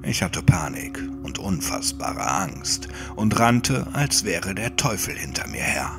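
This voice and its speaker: A man reads a scripted horror tale in a low voice with plenty of gravelly fry. His delivery is measured and suspenseful, in the style of an audiobook. Music plays clearly behind him. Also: very animated. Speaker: horror story narrator. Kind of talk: scripted first-person creepypasta narration, audiobook.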